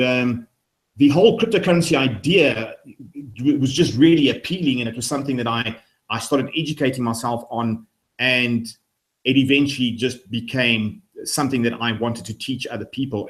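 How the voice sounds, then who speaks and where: A middle-aged man from Africa, answering interview questions on a webinar call in an upbeat, energetic way with many fillers. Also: mid-range voice, normal speed, poor audio. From South Africa. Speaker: guest, company founder.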